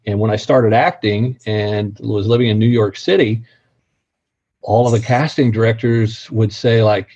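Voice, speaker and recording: A man's voice: lowish voice, steady tone, unhurried, darkish tone, slightly rough. A man from Missouri with a slight Missouri accent, chatting in a friendly, easygoing way as an actor being interviewed. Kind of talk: casual interview chat. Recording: dull lo-fi sound.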